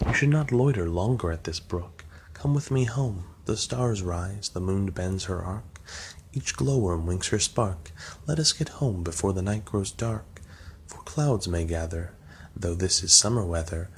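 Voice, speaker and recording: Guy reading a careful young girl warning her sister, soft and gentle, deep voice. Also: a little slow, slight background noise. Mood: anxious pleading.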